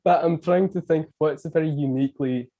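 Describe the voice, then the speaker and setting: Brief: Scottish-accented male podcast host, chatty, mellow warm tone. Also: a little high, a little fast, gravelly, lo-fi sound. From Canada. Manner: chatty, laughs between phrases. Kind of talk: casual conversation.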